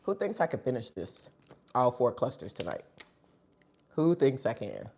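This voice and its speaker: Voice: medium pitch, sing-song, normal speed, vocal fry, darkish tone, a little hiss or hum. A live-stream host chatting casually between bites of food, sniffling. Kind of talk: casual live-stream chat while eating.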